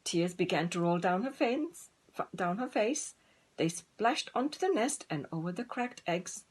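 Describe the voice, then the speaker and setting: A woman narrating a children's story in a deliberate, gently dramatic manner. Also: medium pitch, expressive, husky. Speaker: storybook reader.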